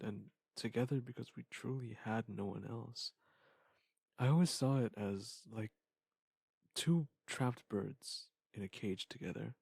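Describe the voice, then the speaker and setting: A man acts out a wistful ex-lover with a low, gravelly voice, recorded close and dry. The delivery is halting and reflective, like an intimate audio drama confession left as a goodbye message. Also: darkish tone, no echo. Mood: sad, reflective. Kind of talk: intimate scripted audio monologue.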